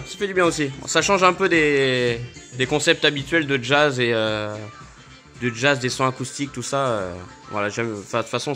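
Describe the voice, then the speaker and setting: Bright-voiced man chatting casually. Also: medium pitch. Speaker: hi-fi video host. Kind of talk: casual off-the-cuff chat introducing music. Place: home living room, off camera.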